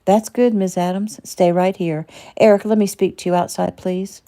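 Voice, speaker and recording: A woman voicing a calm male doctor who questions his patient quickly and evenly. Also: mid-range voice, lightly acted. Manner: calm, probing.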